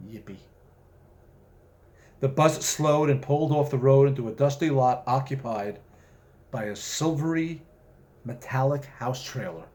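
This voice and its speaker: Measured male author reading aloud. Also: medium pitch, a little mellow. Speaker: author reading own short story. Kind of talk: story reading with spoken introduction.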